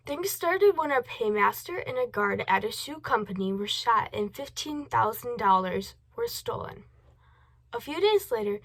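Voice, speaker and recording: Higher-pitched woman reading a news report. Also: a bit bright, poor audio. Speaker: news presenter in a student history project. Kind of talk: scripted news report narration.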